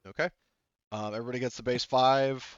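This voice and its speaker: A man's voice: medium pitch, fairly even intonation, quick pace, clean tone, no echo, lo-fi sound. A man running a tabletop game session, talking in a loose, rambling way full of fillers. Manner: rambling, filler-heavy, laid-back. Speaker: game master.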